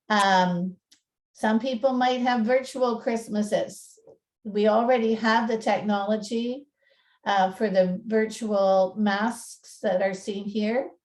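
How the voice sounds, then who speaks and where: A woman's voice: mid-range voice, steady tone, takes it slowly, darkish tone, far from the mic. A woman presenting an informal talk in a chatty, self-deprecating manner. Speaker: museum presenter and radio play narrator. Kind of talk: informal talk with slides and dramatic reading.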